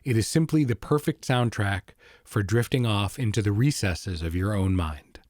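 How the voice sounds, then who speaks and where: A man's voice: fairly low pitch, normal speed, darkish tone, no echo, studio quality. A man hosting a podcast, delivering a scripted introduction. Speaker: podcast host introducing an episode. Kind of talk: scripted narration.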